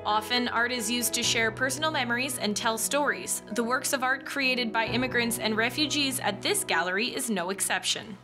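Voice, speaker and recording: Woman reporter reading a crisp voice-over. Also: a little high, normal speed, hardly any pauses. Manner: crisp, even news read. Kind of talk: scripted news narration. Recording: voice-over with music bed.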